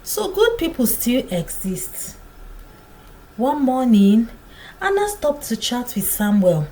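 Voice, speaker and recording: A woman narrating a scripted folktale in a dramatic, deliberate storytelling manner. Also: on the high side, sing-song, dark tone, rough recording.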